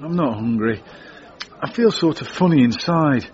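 A man's voice: a little high, animated, normal speed, a little mellow, natural voice. An actor playing a hapless, grumbling British man who is easily flustered, in a radio comedy drama. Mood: bewildered. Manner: moaning, fretful, colloquial. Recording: dull band-limited audio.

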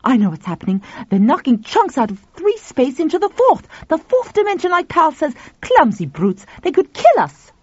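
A woman voicing an excitable grown man in a storybook reading, speaking urgently and emphatically. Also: medium pitch, rapid-fire, few pauses, slightly rough, no room sound, lightly acted. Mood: alarmed. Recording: dull, dry lo-fi audio.

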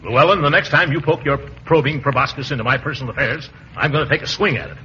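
An actor playing a pompous, easily riled middle-aged uncle in a blustering, theatrical 1940s radio comedy style. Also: male voice, on the high side, quick pace, natural voice. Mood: indignant bluster. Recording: muffled, hissy old radio recording.

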